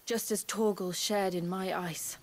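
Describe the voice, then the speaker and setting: An actress playing a gentle, solemn young warrior woman with ice powers, speaking in a measured, hushed, grave way in a fantasy game. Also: mid-range voice, steady tone, slight background noise, natural voice. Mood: grave, wondering. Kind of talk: dramatic fantasy video game acting.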